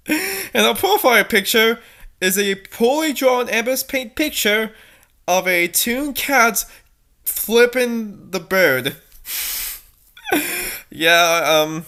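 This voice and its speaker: A woman's voice: medium pitch, a bit bright. A woman doing a comedic read-aloud of fanfiction, with mocking, sarcastic asides and a tendency to giggle. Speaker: fanfiction reader and commentator.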